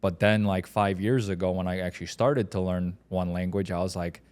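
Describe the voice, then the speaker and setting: A man's voice: low-pitched, steady tone, brisk, darkish tone. A man from Poland, Polish his first language, co-hosting a podcast in hesitant casual chat, full of "like" and "right". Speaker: podcast co-host.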